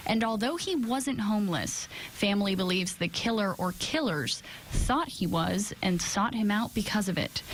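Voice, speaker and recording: A woman's voice: medium pitch, noisy background. A woman with an American accent delivering scripted broadcast news narration as a television reporter.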